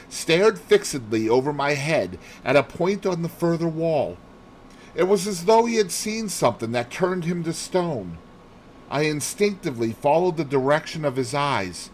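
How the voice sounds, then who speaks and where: A man reading a classic mystery novel aloud as a narrator, in an expressive, theatrical manner. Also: mid-range voice, a little hiss or hum, rough recording. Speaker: audiobook narrator.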